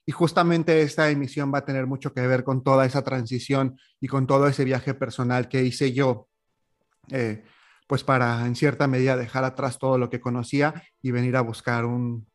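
A man's voice: medium pitch, little pitch movement, moderate pace. Chatty Mexican man hosting a podcast. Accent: Mexican Spanish. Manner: chatty, warm-hearted, with filler "eh, pues". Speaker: podcast host. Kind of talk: solo podcast monologue.

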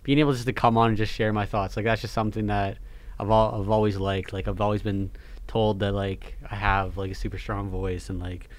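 A man's voice: fairly low pitch, level tone, fairly quick. A young man co-hosting a faith podcast, talking in a rambling way with many restarts and fillers. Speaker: podcast co-host. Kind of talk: casual faith podcast chat.

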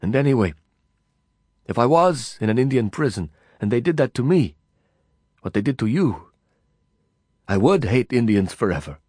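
A man narrating an audiobook voices a bitter refugee consumed by hate, speaking with quiet feverish zeal and American vowels touched by Arabic. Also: mid-range voice, big pitch swings, normal speed, long pauses, dry recording, clean studio sound. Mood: insistent. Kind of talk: audiobook dialogue reading.

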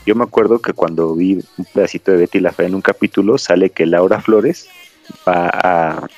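Deep-voiced Mexican man, rambling podcast host. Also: normal speed, darkish tone, dry recording, faint music behind. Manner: rambling, storytelling, digressive. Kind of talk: casual chat. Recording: dry, slightly dull lo-fi audio.

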